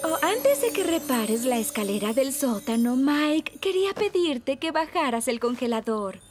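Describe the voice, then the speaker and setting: A woman voicing a gentle, earnest mother in an animated cartoon dub. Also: high voice, unhurried, music in the background.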